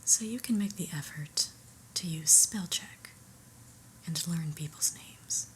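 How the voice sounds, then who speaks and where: Casting: woman as stern, unimpressed female office boss for ASMR roleplay; breathy whisper, lowish pitch, formal and very unhurried. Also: low-quality recording. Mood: reproving, dry. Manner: measured, formal, reproving. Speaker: ASMR performer.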